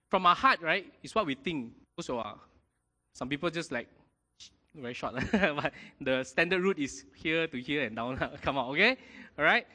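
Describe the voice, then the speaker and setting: A man preaching a sermon in a lively way, often checking in with his congregation. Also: fairly high pitch. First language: not Mandarin. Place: church hall. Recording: long hall reverb.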